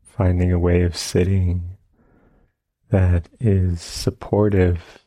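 Very soft-toned, slow man guiding meditation. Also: deep voice, flat delivery, no room sound, clean studio sound. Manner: gentle, unhurried, long pauses between short phrases. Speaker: meditation teacher. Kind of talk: guided meditation. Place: retreat center meditation session.

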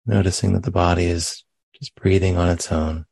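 A man leading a guided meditation as a teacher, speaking gently and unhurriedly with long pauses. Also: low-pitched, little pitch movement, creaky, no echo.

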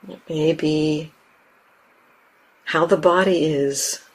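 A woman teaching guided meditation, speaking gently and unhurriedly in short phrases with long pauses. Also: deep voice, steady tone, breathy edge, poor audio. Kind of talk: guided meditation, unscripted instruction.

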